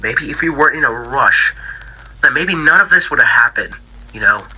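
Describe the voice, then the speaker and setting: A man voicing a bitter, grieving young pig character in a halting, stammering interview for an analog horror fan drama. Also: mid-range voice, expressive, pushed and bright, husky, lo-fi sound, exaggerated voice. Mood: grieving, distraught. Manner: halting, stammering, repeats himself, trailing off. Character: grieving, bitter young male cartoon pig, infection survivor.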